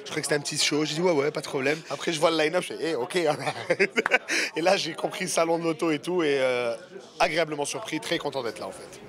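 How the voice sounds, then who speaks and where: A man's voice: fairly high pitch, a little fast. A man, a comedian talking playfully in a backstage interview in a small kitchen. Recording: crowd noise behind.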